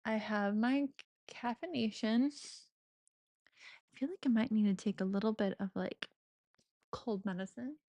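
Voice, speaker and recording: A woman's voice: medium pitch, measured pace, long pauses, warm tone, dry recording. Stuffy-nosed gamer girl chatting away, giggly, dry close sound. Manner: chatty, giggly, self-mocking, cozy. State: slightly stuffy nose. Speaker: gaming streamer. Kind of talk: casual let's-play commentary.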